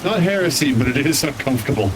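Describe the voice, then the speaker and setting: A man's voice: mid-range voice, lively intonation, quick pace, a little hiss or hum, natural voice, low-quality recording. A man playing a genial, wry knight of a church order in improvised online roleplay.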